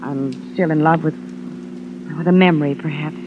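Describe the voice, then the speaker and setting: An actress playing a dignified, wistful widow in a 1950s radio drama, speaking with poise and halting phrases. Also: female voice, low-pitched, fairly even intonation, lightly acted. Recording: tinny, noisy vintage radio recording.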